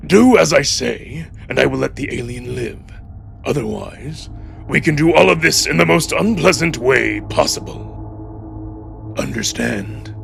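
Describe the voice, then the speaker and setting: A cold, ruthless wolf ruler, acted out by a man in a dramatic story, speaks in a creaky, forceful growl. He gives imperious orders as an older official used to obedience, swinging widely in pitch over background music. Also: animated, measured pace, heavily acted. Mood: cold, threatening. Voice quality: gravelly, growling. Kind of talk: dramatic audiobook-style character acting.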